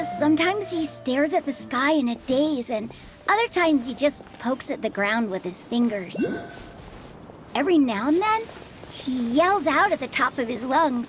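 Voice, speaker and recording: A woman voicing an anxious young village boy who hesitantly pleads for help finding his grandfather. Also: high-pitched. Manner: hesitant, pleading, stumbling over words. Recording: game cutscene with music behind.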